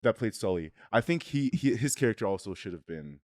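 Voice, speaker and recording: A man co-hosting a casual film review, talking haltingly and rephrasing as he thinks. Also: fairly low pitch, lively intonation, darkish tone, no room sound, clean studio sound. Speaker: review show co-host.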